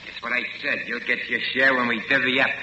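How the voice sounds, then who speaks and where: A menacing, slangy gangster from a 1940s radio crime play. His voice is very sharp and forceful and he talks quickly. It rings with heavy echo on a dull old recording. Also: medium pitch, little pitch movement. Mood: falsely reassuring. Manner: slangy, tough. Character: tough, slangy, menacing gangster falsely reassuring. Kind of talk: 1940s radio drama acting. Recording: muffled hissy old radio, echoey hall reverb.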